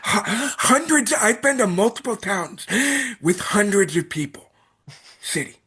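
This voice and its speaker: A man's voice: high voice, sing-song, measured pace, bright, forceful tone, breathy edge. A man from Iowa, a guest on a podcast, who talks in a hesitant way, full of fillers and trailing off. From Iowa, United States. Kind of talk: casual chat.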